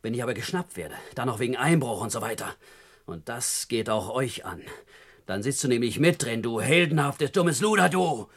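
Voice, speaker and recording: An actor playing a brazen, menacing criminal on the run in a vintage radio crime play, with a mocking, slangy manner. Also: male voice, medium pitch, animated, normal speed. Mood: threatening, mocking.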